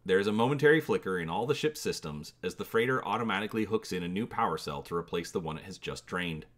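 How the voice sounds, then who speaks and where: A man's voice: low voice, animated, quick pace, fairly bright tone. A man hosting his channel, giving a dramatic reading of an adventure gamebook and inviting viewers to comment on choices.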